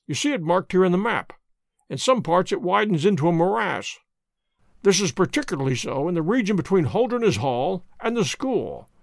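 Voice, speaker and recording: A man voicing a methodical middle-aged male detective in an audiobook, laying out his reasoning in a measured, deliberate way. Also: high-pitched, vocal fry, no echo, clean studio sound, heavily acted.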